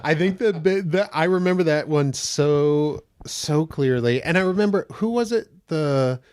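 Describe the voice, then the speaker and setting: A man with a slightly high, gentle voice, telling stories in a casual podcast chat, laughing often and acting out what people said. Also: a little slow, smooth. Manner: animated storyteller, laughs often, acts out quotes. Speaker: guest actor.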